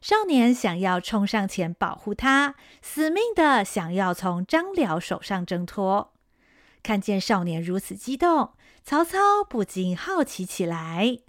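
A woman's voice: medium pitch, big pitch swings, a little slow, no room sound, clean studio sound. A woman with a Taiwanese Mandarin accent narrating a radio drama with vivid storytelling.

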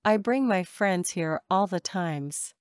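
A woman reading short English phrases for a language lesson, saying each one slowly and carefully twice. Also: on the low side, lively intonation, smooth, no room sound, studio quality. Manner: measured, careful enunciation. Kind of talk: slow repeated drill phrases.

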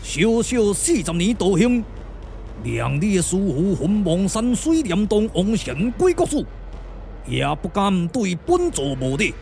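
A man's voice: sits high, sing-song, unhurried, gravelly, a little mellow, a little hiss or hum, exaggerated voice. A man voicing a haughty, wrathful ancient immortal sage, imperious and declamatory, in a puppet-theatre radio drama.

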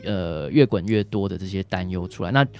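A man's voice: low voice, fairly quick, darkish tone, clear, resonant tone, soft background music. A man with a Taiwanese accent, a doctor explaining conversationally as a radio guest, often ending phrases with short tag particles. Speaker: doctor answering questions, guest.

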